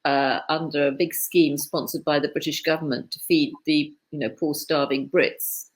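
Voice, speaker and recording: Older woman, low voice, raised in East Africa, first language Swahili; chatty, wry interview guest. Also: flat delivery, normal speed. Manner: chatty, wry, trails off mid-thought. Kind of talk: casual conversation.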